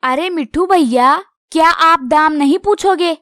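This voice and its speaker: A woman's voice: high-pitched, a little slow, fairly bright tone, no room sound, clean studio sound. A woman voicing a friendly, polite little female bird who sells mangoes in a children's cartoon. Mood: cheerful, polite.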